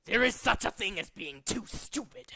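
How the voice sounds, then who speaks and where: A man voicing a megalomaniac villainous cult leader from a video game, scheming, pompous and contemptuous, moving between hushed menace and ranting. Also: sits high, expressive, normal speed, gravelly, a crowd in the background. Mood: furious, contemptuous. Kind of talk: theatrical video game villain monologue, demo reel.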